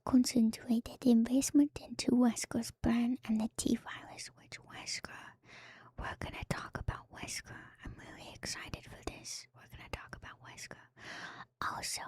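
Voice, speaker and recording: Slow whispering woman, ASMR style. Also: on the high side, little pitch movement, dry recording. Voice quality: soft, breathy whisper. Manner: hushed, intimate, rambling asides to viewers. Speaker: streamer host. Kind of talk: ASMR lore reading with casual commentary. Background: music.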